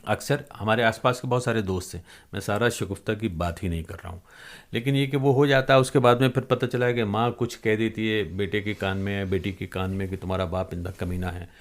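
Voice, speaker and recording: A man's voice: fairly low pitch, fairly even intonation, brisk. A man hosting an interview, giving his own opinions emphatically and repeating phrases for weight. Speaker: interviewer, host. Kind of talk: conversational interview commentary.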